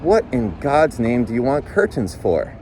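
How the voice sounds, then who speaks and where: A man's voice: medium pitch, very animated, unhurried, a little mellow, slight background noise. A man voicing a baffled, exasperated but loving working-class husband in a storybook reading, protesting and pleading.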